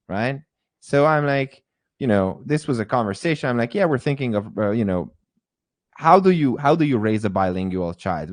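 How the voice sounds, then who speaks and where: A man's voice: lowish voice, no room sound. A man teaching a live online course, talking in a hesitant way with many fillers and restarts. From Hungary. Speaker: AI course instructor.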